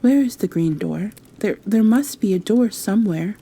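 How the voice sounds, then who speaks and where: A woman's voice: medium pitch, expressive, moderate pace, dark tone, slightly rough, pitched above the performer's own voice. A woman voicing a lonely, imperious and curious young girl in a storybook reading, with prim, direct speech.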